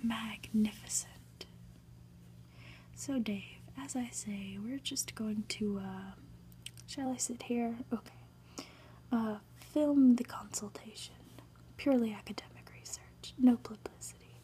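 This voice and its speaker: A woman voicing a smooth-talking, self-important, evasive male professor in a storybook, with small hesitations. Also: fairly high pitch, takes it slowly, mellow, a little hiss or hum. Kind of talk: whispered storybook character reading.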